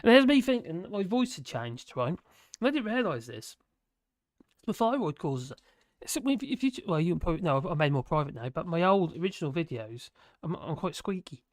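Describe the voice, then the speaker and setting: A hoarse live streamer, rambling in casual chat to viewers and making fun of themselves. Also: mid-range voice, very animated, fairly quick, no echo, studio quality. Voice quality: rambling, self-deprecating.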